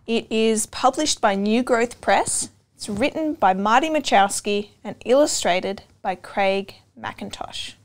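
A young adult woman reading a children's storybook aloud, expressive and deliberate, pausing to put questions to listeners. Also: fairly high pitch. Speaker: storytime reader. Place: indoor room with couch and bookshelves.

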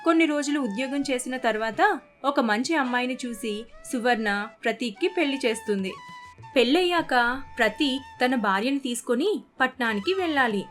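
A woman's voice: high-pitched, animated, normal speed, fairly bright tone. A woman narrator telling a scripted moral family story. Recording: faint music bed behind the voice.

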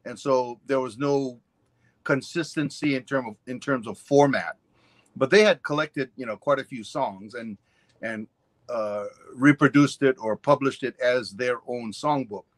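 An older man from Hawaii talks over a webcam call from his home, rambling through memories with plenty of fillers and side trips. His voice sits at a middle pitch, and the sound is dry and close, with no room echo. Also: unhurried. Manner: rambling storyteller, many fillers and asides. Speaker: ukulele teacher, guest. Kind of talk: casual interview chat. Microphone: webcam on a video call.

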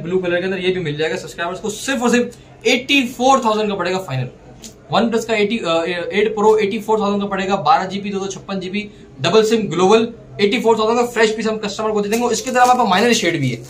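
A young man from Pakistan with a Karachi Urdu accent, presenting used phones and their prices in a brisk, repetitive sales patter, recorded with a lapel mic in a small shop room. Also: sits high. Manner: brisk repetitive price listing with English number words; gestures and holds up phones while talking. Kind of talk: sales pitch vlog.

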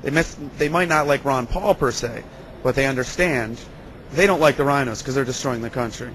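A man's voice: medium pitch, fairly quick, fairly bright tone. A middle-aged American man telling his story conversationally in an interview, speaking into a handheld microphone. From California, US. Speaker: interviewee, local political activist. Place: large concrete backstage area indoors. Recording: clear ambient noise of busy backstage hall.

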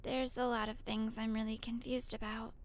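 Woman voicing an earnest schoolgirl, high voice, quick to fire up, fan dub. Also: little pitch movement, close to an everyday voice. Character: earnest schoolgirl, eager and impulsive, teenage. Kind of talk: amateur anime fan dub.

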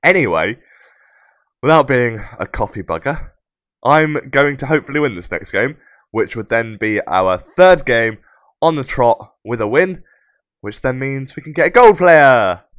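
A young man hosting casual game commentary, his voice croaky and rough from a sore throat, chatty and upbeat. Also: medium pitch, animated, unhurried, fairly bright tone, no room sound. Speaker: gaming channel host.

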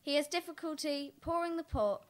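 A woman's voice: high-pitched, a little fast. A young woman reading stage directions as scripted narration for a play. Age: young adult.

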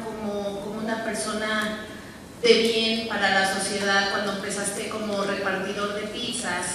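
Female reporter asking from the back of a hall. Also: mid-range voice. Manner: wordy, hesitant questioning. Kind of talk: press conference question. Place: large event hall, in the audience. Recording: echoey hall, distant mic, noisy.

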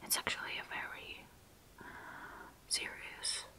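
A young woman from Russia with a Russian accent, whispering softly and closely as an ASMR host answering viewer questions, with pauses and fillers. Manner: soft whisper, close to the mic, halting with fillers. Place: small dark room indoors.

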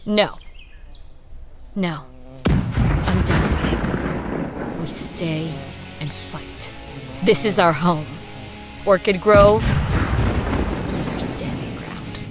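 A woman's voice: fairly low pitch, drawn-out words, long pauses, over music. An actress playing an anguished but resolute woman survivor in an audio drama. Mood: grim resolve. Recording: clear outdoor ambience behind.

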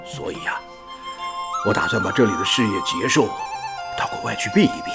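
A man's voice: on the low side, big pitch swings, brisk, gravelly. An actor playing a kindly, worldly older father in a radio drama, with a folksy, avuncular manner. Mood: concerned, persuasive. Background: music.

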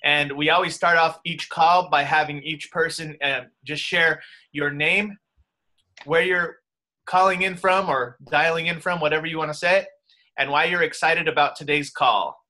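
A man's voice: fairly high pitch, fairly even intonation, moderate pace, bright, forceful tone. A man in his thirties hosting a group video call from his home office, upbeat and welcoming. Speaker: host.

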